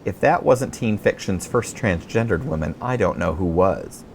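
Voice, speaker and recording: A middle-aged man with an American accent, reading his own humorous memoir as an audiobook with wry, expressive storytelling. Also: low-pitched, normal speed, slight background noise.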